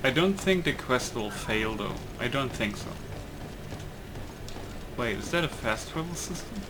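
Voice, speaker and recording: A man's voice: medium pitch, expressive, a little slow, background noise. A man giving casual gameplay commentary, thinking aloud and muttering to himself in a hesitant way. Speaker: gamer, let's player.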